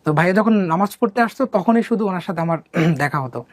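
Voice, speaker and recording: A young man from Bangladesh, an interview guest telling his life story softly and hesitantly, with many pauses and fillers. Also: sits high, animated, no room sound. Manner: soft-spoken, hesitant, many fillers and restarts.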